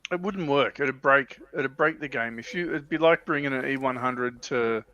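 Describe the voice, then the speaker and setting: A man's voice: medium pitch, moderate pace, bright, forceful tone, lo-fi sound. A man hosting a casual hobby livestream chat, talking hesitantly and restarting his phrases. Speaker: livestream host.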